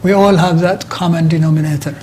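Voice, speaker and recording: Higher-pitched middle-aged Ethiopian-accented man. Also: steady tone, a little mellow, a little hiss or hum. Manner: halting, repeats words as he explains. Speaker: interview guest, activist. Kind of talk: conversational interview answers.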